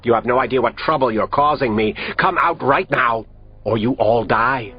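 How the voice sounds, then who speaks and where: A man playing a cold, commanding villain doctor in an audiobook, speaking calmly and with authority. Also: medium pitch, moderate pace, breathy edge, natural voice. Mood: cold menace. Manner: calm, authoritative, threatening. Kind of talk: audiobook character reading. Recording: lo-fi audio with faint music.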